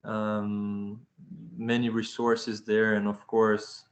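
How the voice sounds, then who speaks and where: Male presenter, unhurried, monotone, lots of hesitations. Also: fairly low pitch. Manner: halting, many fillers and restarts. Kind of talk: webinar presentation.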